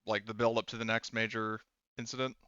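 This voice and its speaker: A man's voice: on the low side, little pitch movement, fairly quick, pushed and bright, clear, resonant tone, no echo, lo-fi sound. A man acting as game master of a tabletop roleplaying game, talking casually and hesitantly as he searches for words.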